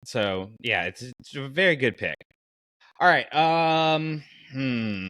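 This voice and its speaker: A man hosting a podcast in casual chat, with a playful, animated way of bantering. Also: medium pitch, takes it slowly, no room sound. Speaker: podcast host.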